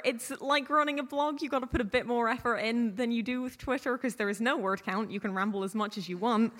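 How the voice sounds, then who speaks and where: A woman's voice: mid-range voice, a bit bright. A woman in her early twenties giving informal, emphatic advice as a guest on a panel. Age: early 20s. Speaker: panel guest on an audio drama podcasting panel. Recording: echoey hall with long reverb.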